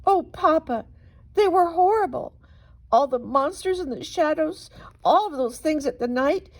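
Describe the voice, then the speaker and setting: A woman's voice: high-pitched, lively intonation, a little slow, darkish tone, voice pushed up. A woman voicing a frightened young girl bunny in a storybook, urgent and pleading. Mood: frightened, upset. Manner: urgent, exclaiming.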